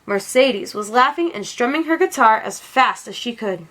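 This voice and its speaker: Animated, high-voiced woman reading a storybook. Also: normal speed. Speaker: storybook read-aloud narrator. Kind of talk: expressive scripted storybook narration.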